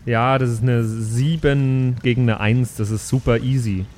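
A man's voice: mid-range voice, fairly even intonation, unhurried, darkish tone, slight background noise. A man improvising casually as a player in a tabletop role-playing game. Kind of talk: improvised in-character role play, casual chat.

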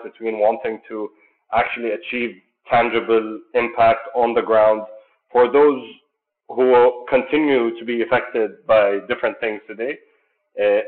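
Male interviewee from Jordan, low register, earnest and measured, thin phone-line audio. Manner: earnest, measured, many fillers. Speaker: sports program founder. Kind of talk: interview answers.

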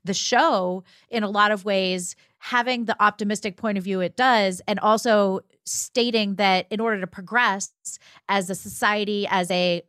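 A woman's voice: mid-range voice, steady tone, normal speed, fairly bright tone, dry recording. Woman, mid-range voice, casual explanatory podcast chat, close dry recording. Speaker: podcast co-host. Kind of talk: casual conversational podcast talk.

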